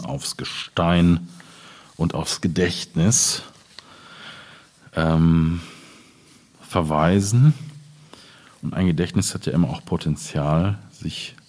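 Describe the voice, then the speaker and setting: A man from Germany's Ruhr region, a poet at a literary reading, speaking in a husky, deliberate, measured way. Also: low voice, a little mellow. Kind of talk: poetry reading with informal commentary.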